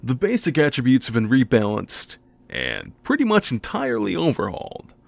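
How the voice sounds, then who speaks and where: A man's voice: medium pitch, huge pitch swings, normal speed, gravelly, no room sound. A man reading a scripted game preview narration with theatrical, wry, emphatic phrasing. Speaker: video game reviewer and narrator.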